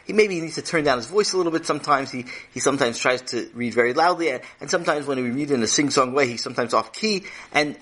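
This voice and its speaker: An eager, chatty teacher gushes and rambles on, as played by a male storyteller. His husky, bright voice leaps around with animated enthusiasm. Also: on the high side. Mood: effusive. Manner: effusive, rambling, talks over others.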